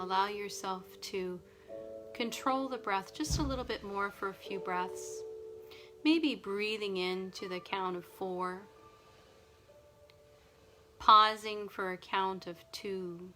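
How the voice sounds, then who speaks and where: Soothing woman guiding a sleep meditation. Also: mid-range voice. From Nova Scotia. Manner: slow, soft and soothing. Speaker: meditation guide. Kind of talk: guided relaxation meditation. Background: music and ocean wave sounds.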